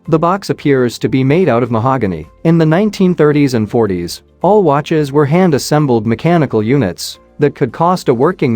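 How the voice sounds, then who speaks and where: A man giving measured, scripted narration, reading questions and answers evenly with no fillers. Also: medium pitch, a little mellow, no echo.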